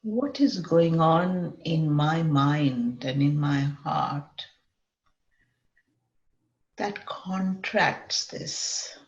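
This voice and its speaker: A woman leading a guided meditation in a measured way, often pausing in the middle of sentences. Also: sits low. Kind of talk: guided meditation, semi-improvised. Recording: dull lo-fi, echoey room.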